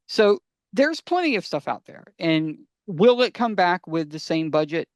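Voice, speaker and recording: A man's voice: high-pitched, very animated, unhurried, no echo, clean studio sound. A man co-hosting a casual podcast, explaining things emphatically and often posing questions that he answers himself. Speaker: podcast co-host.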